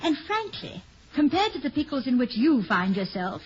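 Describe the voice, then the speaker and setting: An actress playing a poised, affectionate, dryly teasing wife of a college president in a 1950s radio comedy. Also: female voice, sits high, big pitch swings, moderate pace, husky, exaggerated voice. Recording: dull, hissy 1950 radio recording.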